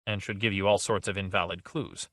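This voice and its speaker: A man voicing a calm male agent with a quiet, deliberate way of speaking. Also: low voice, dry recording, studio quality.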